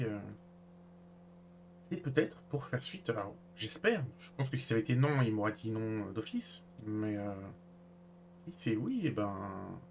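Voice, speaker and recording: A man's voice: lowish voice, a little hiss or hum. A man streaming a role-play game, talking casually and in character with hesitant, stop-and-start phrasing.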